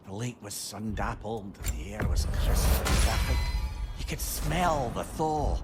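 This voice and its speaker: An actor playing a witty, talkative old companion in a video game, chatty and wry, with a fond, self-deprecating storyteller's manner. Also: male voice, lowish voice, lively intonation, unhurried. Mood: wistful, wry. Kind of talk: dramatic video game acting. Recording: long echoing hall reverb, music behind.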